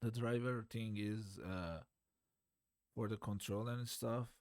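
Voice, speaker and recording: Slow low-ish guy walking through his code, keeps hunting for words, pretty dry sound. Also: fairly even intonation, no echo. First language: Farsi. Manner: hesitant, searching for words, self-deprecating. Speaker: beginner game developer. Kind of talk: unscripted coding walkthrough.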